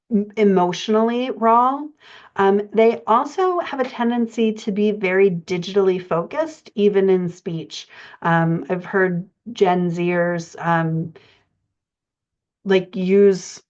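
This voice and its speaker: Podcast coach: American woman, middle-aged, unhurried delivery that feels its way along, informal teaching, slightly lo-fi sound. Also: on the low side. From United States. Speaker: writing coach. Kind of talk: informal podcast lesson, explanatory talk.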